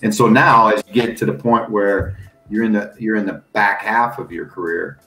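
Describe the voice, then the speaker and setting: Very low male voice, middle-aged, from the US, animated storyteller, echoey room, faint music behind. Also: normal speed. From United States. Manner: animated, folksy, laughs while telling stories. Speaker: guest coach and analyst. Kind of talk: casual storytelling on a podcast.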